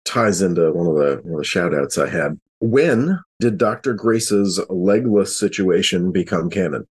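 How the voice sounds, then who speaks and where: Low, slightly husky man chatting. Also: expressive, normal speed. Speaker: podcast panelist asking questions. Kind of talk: casual chat.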